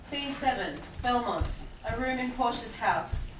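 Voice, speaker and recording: A woman's voice: fairly high pitch, animated, measured pace, a bit bright. A woman reading scripted stage directions at a staged play reading. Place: echoey indoor room. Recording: echoey room, distant, faint noise.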